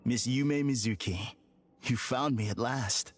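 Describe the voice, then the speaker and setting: An actor playing an unsettlingly calm, formal villain in a game cutscene, speaking in a measured, courteous way. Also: male voice, mid-range voice, slight background noise, lightly acted. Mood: serenely polite. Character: eerily serene polite man, unsettling villain. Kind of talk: video game cutscene dub.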